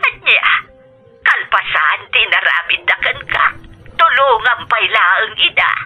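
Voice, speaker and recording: A grumbling, protective old grandmother on a dull telephone line in a radio drama. Her voice is very sharp and raspy, with music behind. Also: mid-range voice, very animated, measured pace, heavily acted. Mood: disapproving. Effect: telephone filter. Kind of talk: Ilocano radio drama acting. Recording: tinny band-limited telephone sound.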